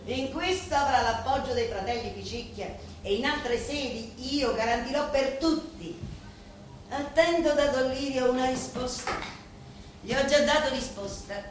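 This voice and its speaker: An actress playing an intense, solemn woman in a radio drama, declaiming deliberately and emphatically. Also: high-pitched. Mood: solemn, emphatic. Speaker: stage actress. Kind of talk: theatrical monologue in a radio drama. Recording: very echoey room with faint noise.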